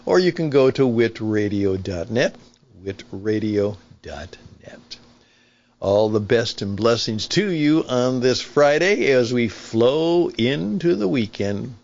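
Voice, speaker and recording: Slow-talking older man, slightly dull recording. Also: mid-range voice, lively intonation, gravelly, darkish tone. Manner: emphatic, deliberate, explanatory. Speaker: podcast host and veteran broadcaster. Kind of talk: conversational opinion monologue.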